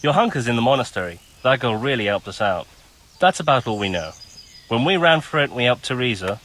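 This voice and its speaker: A man's voice: medium pitch, very animated, brisk, fairly bright tone. A man playing an easygoing, work-shy village carpenter in a video game, casual and matter-of-fact. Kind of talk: video game cutscene dialogue.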